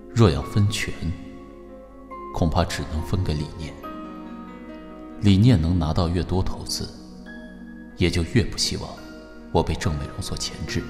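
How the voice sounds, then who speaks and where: An actor playing a composed, calculating, wealthy chairman in a radio drama, measured and formal. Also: low voice. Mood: brooding, calculating. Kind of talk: Chinese radio drama acting.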